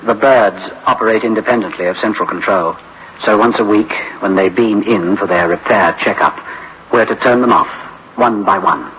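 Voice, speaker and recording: An actor playing a grave, anxious engineer in a science fiction radio drama, with an emphatic theatrical delivery. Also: male voice, fairly low pitch, moderate pace, a bit bright, close to an everyday voice. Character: anxious, grave male engineer, dreading disaster. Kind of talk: dramatic sci-fi radio acting. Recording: dull, faintly noisy old radio recording.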